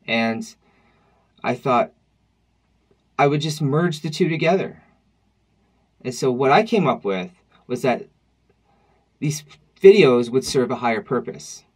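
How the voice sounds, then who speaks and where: A man in his late thirties muses to his webcam from a dim room at home. His talk is wry and reflective, circling back on itself as he thinks out loud, in a middle-pitched everyday voice. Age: 30s. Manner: reflective, wry, conversational with frequent restarts. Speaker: vlogger. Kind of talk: personal vlog monologue.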